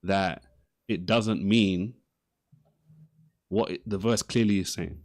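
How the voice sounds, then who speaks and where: A man with a fairly low voice in a live religious debate. He interrogates persistently, circling back to the same question and pressing for a direct answer. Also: fairly even intonation, takes it slowly, a little mellow.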